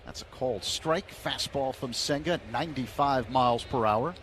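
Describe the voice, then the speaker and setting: A man doing radio play-by-play of a baseball game, measured and rising in energy on big plays. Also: mid-range voice, fairly bright tone, slight background noise. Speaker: play-by-play announcer.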